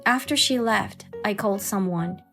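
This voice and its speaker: A woman's voice: mid-range voice, moderate pace, fairly bright tone, soft background music. A woman giving scripted first-person narration as the narrator of a dramatized story.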